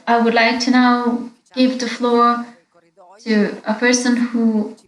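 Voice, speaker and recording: A woman interpreting into English for a webinar, speaking in a steady, measured way with small fillers. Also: fairly high pitch, reverberant, poor audio. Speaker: interpreter and moderator.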